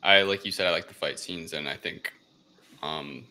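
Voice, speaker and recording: Very deep, bright-edged man, casual. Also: moderate pace. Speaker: podcast co-host. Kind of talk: casual film review chat.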